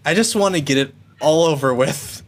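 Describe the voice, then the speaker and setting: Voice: medium pitch, normal speed, lightly acted. A player voicing an edgy, impatient male naval lieutenant in a sci-fi radio play. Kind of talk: cold-read sci-fi radio play acting.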